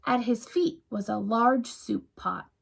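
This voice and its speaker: A woman narrates a children's story as a teacher would. Her voice sits fairly high with noticeable creak, and she takes her time, placing expressive emphasis on the words for young listeners. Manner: deliberate, emphatic. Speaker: teacher reading aloud to first graders.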